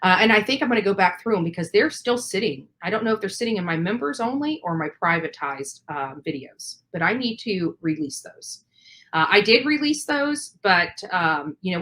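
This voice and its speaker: A woman's voice: medium pitch, lively intonation, moderate pace, a bit bright. A woman hosting an informal live stream commentary, speaking emphatically with an aggrieved edge and repeating phrases to press her point. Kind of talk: informal live commentary on a true crime case.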